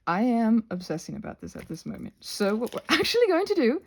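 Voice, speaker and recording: A woman with a fairly high, very animated voice that often crackles into fry. She rambles playfully as she works through a craft tutorial, interrupting herself and thinking out loud. Her tone is soft-edged and mellow. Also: brisk. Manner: scattered, thinking aloud, self-interrupting; playful, expressive exclamations. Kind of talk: rambling casual craft narration.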